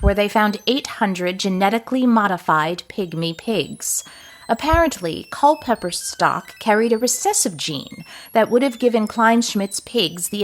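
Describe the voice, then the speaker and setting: An actress playing a brisk female intelligence chief in a comedic audio drama. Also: medium pitch, slight background noise, natural voice, poor audio.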